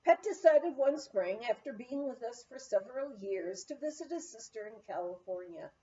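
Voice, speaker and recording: A woman reading a memoir aloud in an expressive storytelling manner, on a slightly dull recording. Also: a little high, moderate pace. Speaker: audiobook reader.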